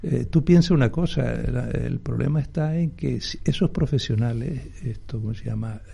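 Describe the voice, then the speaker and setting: An older male doctor, interviewed on radio, speaking in a halting way with many restarts and fillers. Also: medium pitch, dark tone. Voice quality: gravelly, husky. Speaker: doctor and health advocacy guest. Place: radio studio.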